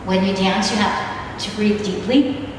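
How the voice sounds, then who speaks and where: Hoarse middle-aged lady preaching, clears her throat a lot, big echoey hall, mic far away, some noise. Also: mid-range voice, fairly even intonation, measured pace. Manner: warm, chatty, easily sidetracked, frequent throat clearing. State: losing her voice. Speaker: dance minister. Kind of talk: informal ministry talk to a women's gathering. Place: large wood-panelled hall or church, on a stage. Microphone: handheld mic, filmed from a distant camera. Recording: roomy hall echo, distant mic, noisy.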